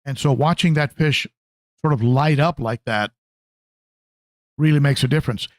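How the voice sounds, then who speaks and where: A man hosting a casual hobby livestream, talking conversationally and haltingly, often repeating phrases as he answers viewers. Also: medium pitch, no echo, clean studio sound. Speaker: livestream host, aquarium hobbyist.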